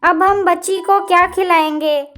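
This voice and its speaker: Woman voicing a high-pitched, fretful mother bird. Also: steady tone, unhurried, a bit bright, faint music behind. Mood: worried, helpless. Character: worried mother bird, anxious and caring. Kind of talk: children's cartoon story acting.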